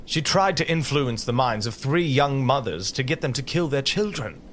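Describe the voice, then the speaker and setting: An earnest knight of audio drama, played by a man, with a mid-range, very expressive voice. He speaks solemnly, as one who holds to virtue, over faint outdoor ambience. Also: medium pitch, normal speed, background noise, close to an everyday voice. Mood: brisk, concerned.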